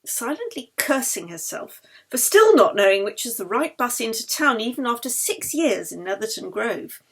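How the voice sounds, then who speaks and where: A woman reading her own novel as expressive audiobook narration. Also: fairly high pitch, moderate pace, husky.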